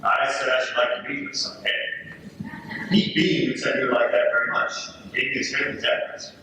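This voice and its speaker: A man's voice: medium pitch, flat delivery, brisk, lightly acted. A man playing a British soldier earnestly narrating a wartime letter in a readers theater performance. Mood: storytelling. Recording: very echoey room, distant mic, faint noise.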